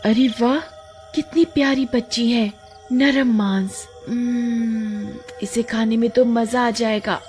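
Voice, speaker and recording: A woman's voice: a little high, steady tone, slow pace, darkish tone, faint music behind. A woman voicing a fierce snake-bodied female witch who cackles and threatens. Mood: greedy.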